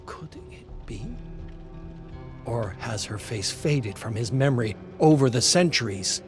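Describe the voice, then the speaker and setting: A man narrating a dramatic story from a script in a measured, solemn way. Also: medium pitch, animated, faint music behind.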